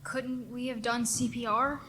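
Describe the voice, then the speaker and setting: A woman's voice: on the high side, flat delivery, unhurried, pushed and bright. An actress playing an earnest, questioning female coworker in a radio mystery play. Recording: faint background noise.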